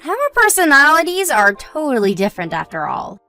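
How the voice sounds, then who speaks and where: A woman acting a high-voiced devoted young wife. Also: huge pitch swings, a little fast, bright, forceful tone, faint music behind, full character voice. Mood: explaining, friendly. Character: devoted young wife, gentle, modest, loyal to friends. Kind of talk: scripted dialogue in an audio story.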